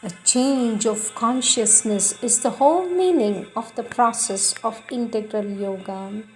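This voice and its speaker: A woman reading spiritual texts aloud in a solemn, measured, deliberate devotional manner. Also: fairly high pitch, soft background music, rough recording.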